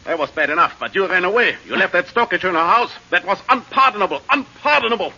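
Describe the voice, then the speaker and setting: An actor playing an imperious, hot-tempered crime boss in a 1940s radio drama, domineering and emphatic. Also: male voice, sits high, expressive, fast, sharp tone, full character voice. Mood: furious. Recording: muffled, noisy 1940s radio recording.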